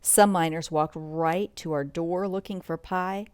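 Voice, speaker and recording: A woman reading a children's bedtime story aloud in an expressive read-along style, sometimes commenting as she goes. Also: on the low side, a little slow, smooth, no echo. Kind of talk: bedtime story read-along narration with asides about pictures.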